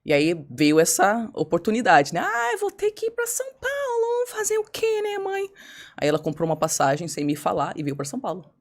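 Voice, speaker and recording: Fast-talking woman telling her story. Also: medium pitch. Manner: fast, animated, acts out her own past words. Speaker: podcast guest. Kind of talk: candid personal storytelling.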